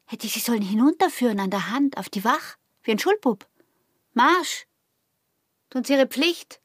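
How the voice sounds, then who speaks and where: An actress playing a young, defiant and teasing peasant wife in a radio drama, with a husky, breathy voice and an Austrian rural dialect. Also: female voice, on the high side, animated, moderate pace, dry recording, clean studio sound. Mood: scornful. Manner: mocking, provocative.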